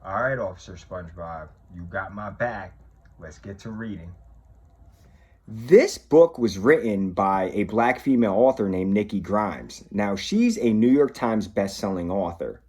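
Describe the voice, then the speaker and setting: A man, a police officer, talking and reading to children in an expressive way, addressing them directly. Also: on the low side, unhurried, slightly rough. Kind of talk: children's read-aloud and talk to pupils.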